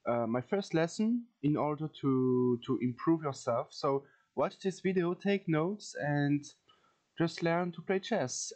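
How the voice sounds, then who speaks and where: A man from France with a French accent gives an informal lesson as a video host, haltingly searching for words and repeating phrases. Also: a little high, a little mellow. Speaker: chess teacher, video host. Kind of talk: informal instructional commentary.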